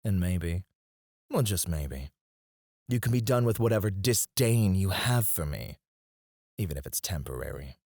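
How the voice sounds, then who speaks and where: Casting: male actor as cocky fantasy alchemist, lowish voice, sardonic, flirty and goading, close dry ASMR delivery. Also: lively intonation, measured pace, long pauses, darkish tone, dry recording, full character voice. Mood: taunting, daring. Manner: teasing, sardonic, goading with mock politeness. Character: cocky, flirty male royal alchemist taunting his rival. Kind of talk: intimate ASMR fantasy roleplay acting.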